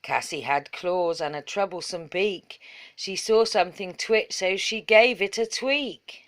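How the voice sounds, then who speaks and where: A woman reading a children's storybook aloud in measured, rhythmic verse. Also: fairly low pitch, fairly bright tone. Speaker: story reader.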